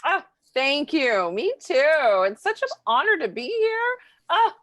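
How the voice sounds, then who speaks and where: A woman's voice: sits high, very animated, takes it slowly, a bit bright, dry recording, clean studio sound, heavily acted. A woman playing a bubbly, clueless small-town actress in an improvised game show parody, rambling and stammering. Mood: gushing, flustered. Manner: flustered, rambling with stammers and breathy exclamations.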